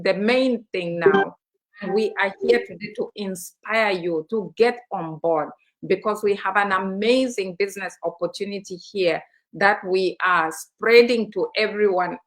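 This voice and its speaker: A woman from Zambia hosts a live studio stream, urging listeners with eager, persuasive energy. She talks in a mid-range voice and leans on frequent fillers as she builds her pitch. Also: rough recording. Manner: enthusiastic, persuasive, many fillers. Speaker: co-host. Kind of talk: live-streamed motivational talk show.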